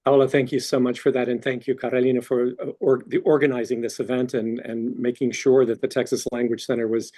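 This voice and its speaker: Male professor giving earnest welcome remarks, husky tone, frequent restarts, video-call audio. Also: medium pitch, little pitch movement, a little fast, hardly any pauses, mellow. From Mexican background. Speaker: language center director and professor.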